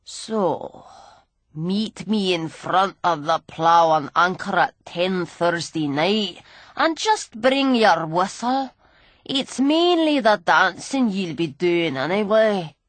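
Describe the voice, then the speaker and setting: A woman's voice: fairly low pitch, lively intonation, no room sound. Woman putting on a cracked, sneering drunk old man. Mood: sly, wheedling. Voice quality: cracked, ragged voice. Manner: slow, sneering drawl. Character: drunken grimy old male street musician, sly.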